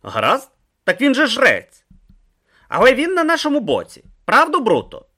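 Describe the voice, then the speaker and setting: A man voicing an eager, hurried young male rebel in a storybook reading. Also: very high voice, wildly swooping pitch, sharp tone, pitched above the performer's own voice, full character voice. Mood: urgent.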